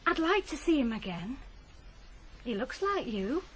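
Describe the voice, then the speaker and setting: High-voiced, outspoken housewife. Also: female voice, sing-song, a bit bright, a little hiss or hum, full character voice. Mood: exasperated. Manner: brisk, forthright, probing. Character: brisk, outspoken working-class housewife, exasperated and hospitable. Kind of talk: 1980s British radio drama acting.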